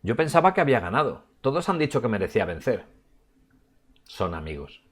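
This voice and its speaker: A man reading a novel passage aloud in a measured way, going quieter on the quoted lines. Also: medium pitch, big pitch swings, slightly rough. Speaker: book reviewer.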